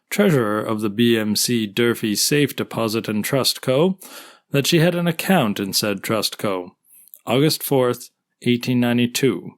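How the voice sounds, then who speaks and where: A formal 1890s prosecutor acted by a man, with a medium-pitched voice. He reads documents aloud in a deliberate, orderly courtroom manner for a dramatized trial. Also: lightly acted. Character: male prosecuting attorney reading a letter, formal. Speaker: actor reading a lawyer's part.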